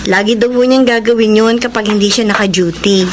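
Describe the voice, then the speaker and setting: A woman's voice: medium pitch, steady tone, a little fast, noisy background, lightly acted. A woman acting in a Filipino radio drama as an earnest young daughter, pleading politely but firmly. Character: earnest young daughter defending her boyfriend, respectful but firm.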